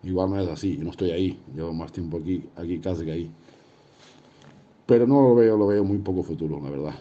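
A man's voice: quick pace, warm tone. A man from Portugal with a Portuguese accent, talking casually as an interview guest and repeating phrases for emphasis. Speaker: horse racing guest. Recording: muffled low-fidelity link with faint noise.

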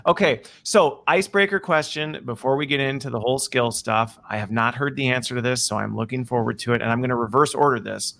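A man's voice: medium pitch, fast, creaky, few pauses, a bit bright. A man hosting a casual live video chat, upbeat and chatty, often saying okay and all right. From American Midwest. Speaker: host. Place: video call.

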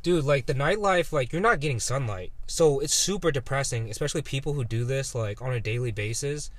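A man's voice: mid-range voice, brisk. A man in his twenties talking casually as a guest, laid-back and rambling with lots of fillers and restarts. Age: 20s. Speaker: guest photographer. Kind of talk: casual podcast chat.

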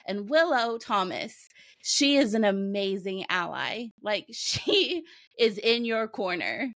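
A woman's voice: medium pitch, very animated, takes it slowly, a bit bright, no echo. A woman with a Kansas American accent co-hosting a podcast, chatting enthusiastically and laughing often. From Kansas, USA. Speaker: podcast co-host. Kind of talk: casual podcast chat.

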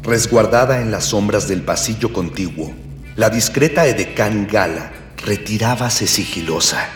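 A man's voice: on the low side, animated, a little slow. A man playing a solemn, florid storyteller, narrating a pulp space radio serial in a pompous, old-fashioned way. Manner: pompous, archaic, florid phrasing. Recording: echoey reverb with soft music.

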